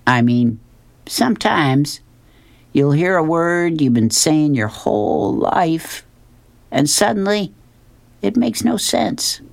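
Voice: medium pitch, very animated, slow, vocal fry, long pauses, pitched above the performer's own voice, full character voice. A performer reading a puzzled old man from a comic strip, musing aloud on a park bench.

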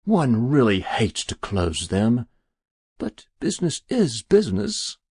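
Man playing a smooth, sinister, leisurely devil. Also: medium pitch, very animated, unhurried, dry recording, clean studio sound, pitched above the performer's own voice, exaggerated voice. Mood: simpering, pious. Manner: easy, unctuous, mock-pious, precise diction. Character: smooth sinister devil posing as genteel businessman. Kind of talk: dramatic literary storytelling.

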